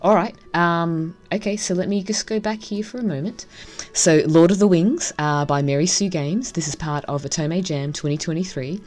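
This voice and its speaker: A woman's voice: lowish voice, moderate pace, a little mellow, faint music behind. A woman giving casual, enthusiastic first-impressions commentary on a game as she plays. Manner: gushing, enthusiastic. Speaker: game streamer reviewing a visual novel.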